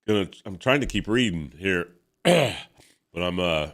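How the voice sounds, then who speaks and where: A man's voice: sits low, expressive, a little slow, a bit bright. A stuffed-up man in his fifties hosting a casual comic book reading, rambling with many fillers. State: congested. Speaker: host.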